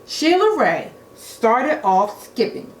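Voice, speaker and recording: A woman reading a children's storybook aloud in an expressive, deliberate way, like a teacher at storytime. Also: on the high side. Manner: expressive, deliberate, engages young listeners with questions. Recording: echoey room with faint noise.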